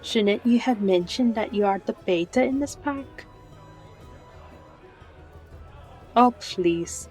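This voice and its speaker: A woman's voice: mid-range voice, a little slow, a little mellow, natural voice, rough recording. Over music comes a friendly fantasy tavern bartender, acted by a woman. She has a mid-range voice and a chatty, familiar way with patrons. Her folksy turns of phrase carry a wry edge, in the style of an audio roleplay. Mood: teasing, scolding.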